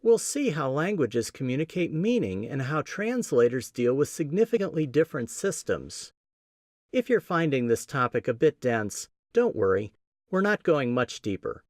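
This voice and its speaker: A man with a Midwestern American accent hosting a podcast, giving a scripted teaching talk in a measured, explanatory way with emphatic stresses. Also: medium pitch, sing-song, no room sound, studio quality. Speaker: podcast host.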